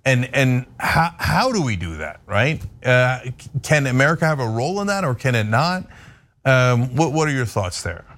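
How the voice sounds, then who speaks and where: A man's voice: medium pitch, big pitch swings, moderate pace, a bit bright. A man from Turkey hosting a talk show, asking a guest direct, opinionated questions. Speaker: show host. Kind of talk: interviewing a guest.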